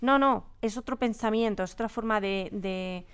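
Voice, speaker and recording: Spanish woman from spain, smooth clear voice, chatty interview guest, explains stuff emphatically, repeats words a lot. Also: mid-range voice, moderate pace. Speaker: audio drama producer and sound designer, interview guest. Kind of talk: casual interview talk. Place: radio drama studio.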